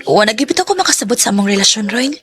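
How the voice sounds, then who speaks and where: A woman's voice: fairly high pitch, sing-song, very fast, a bit bright. An actress playing a young woman hurt by her cold boyfriend in a radio drama, with a Cebuano Filipino accent. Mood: sad, troubled. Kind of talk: Cebuano radio drama acting with first-person narration.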